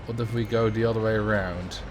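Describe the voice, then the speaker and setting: A man's voice: on the low side, steady tone, a little fast, noisy background. A man from the Netherlands with a Dutch accent, casually chatting with viewers and thinking aloud while he plays a building game on a livestream. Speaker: streamer.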